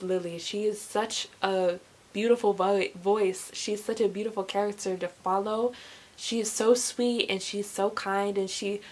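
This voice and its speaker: A young woman chatting casually as a vlogger, gushing and emphatic, often repeating words for emphasis. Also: medium pitch. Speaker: book reviewer vlogger. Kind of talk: casual chat to camera.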